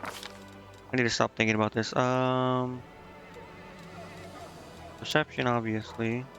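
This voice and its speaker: A man's voice: medium pitch, little pitch movement, slow pace, fairly bright tone, faint music behind. A man thinking aloud in casual, indecisive gameplay commentary, muttering to himself as he streams. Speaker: game streamer.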